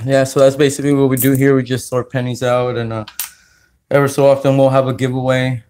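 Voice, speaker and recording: Flat-voiced man chatting on a livestream. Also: medium pitch, slight background noise. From California, United States. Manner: relaxed, halting, many fillers, greets viewers. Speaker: livestream host. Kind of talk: casual livestream chat while sorting coins.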